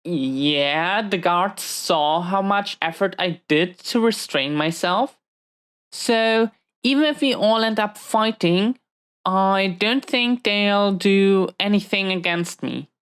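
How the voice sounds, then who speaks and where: A woman's voice: medium pitch, slow pace, smooth, close to an everyday voice. A woman playing a protective, hot-tempered but tender supervillain in prison, in an intimate audio roleplay, speaking defensively and hesitantly to an unseen listener. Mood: defensive, irritated.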